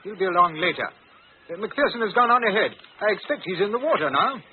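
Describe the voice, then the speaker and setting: An actor playing a hearty, blustering older English headmaster in old-time radio style. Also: male voice, high-pitched, sing-song, quick pace. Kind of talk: 1940s radio acting. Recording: tinny, noisy old radio recording.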